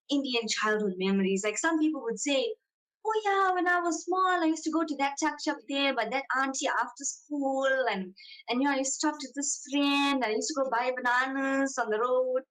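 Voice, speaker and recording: A woman's voice: high voice, normal speed, a bit bright, poor audio. A young woman with a South African accent, chatting casually as a podcast guest in a self-deprecating, talkative way. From South Africa, Johannesburg and Durban. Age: young adult. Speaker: podcast guest, content creator. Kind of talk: casual interview chat.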